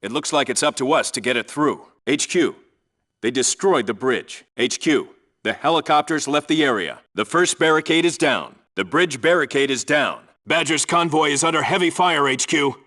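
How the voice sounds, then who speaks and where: A man's voice: on the high side, expressive, normal speed, sharp tone. A man voicing a tough, disciplined special forces squad leader in a military video game, clipped and authoritative. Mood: crisp, businesslike. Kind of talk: military video game voice lines, clipped radio reports and orders.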